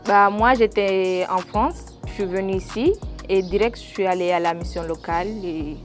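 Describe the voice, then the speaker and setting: A woman's voice: medium pitch, steady tone, normal speed, faint music behind. A young woman in training, giving an informal testimonial. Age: young adult. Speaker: bakery trainee.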